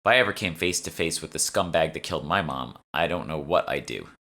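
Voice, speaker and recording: A man's voice: extremely low pitch, expressive, a little fast, pushed and bright, full character voice. A man voicing an anxious, inquisitive young woman, the questioning and uneasy heroine of a fantasy audiobook.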